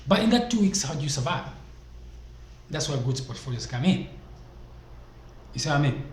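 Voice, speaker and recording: Guy from zambia doing a business pep talk, kinda high voice, keeps asking "you see what i mean", echoey room. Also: very animated, normal speed. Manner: emphatic, repeats rhetorical questions. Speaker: vlogger giving business advice. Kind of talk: casual motivational talk to camera. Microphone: desk microphone. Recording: echoey room, faint background noise.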